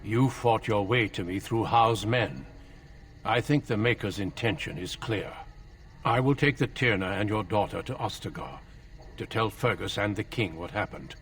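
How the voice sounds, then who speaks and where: Low-voiced, grave veteran warrior. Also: male voice, a bit bright, distant mic. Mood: solemn, urgent. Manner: formal, measured, courteous.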